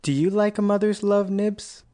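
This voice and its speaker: A woman voicing a soft-hearted, eager young boy who talks earnestly. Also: mid-range voice, moderate pace, natural voice. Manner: earnest, gushing.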